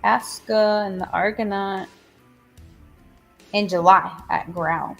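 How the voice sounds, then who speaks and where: A woman's voice: medium pitch, fairly even intonation, takes it slowly, vocal fry, fairly bright tone, highs cut off. A woman co-hosting a music show, chiming in with brief, upbeat remarks. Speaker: co-host. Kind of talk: casual livestream chat.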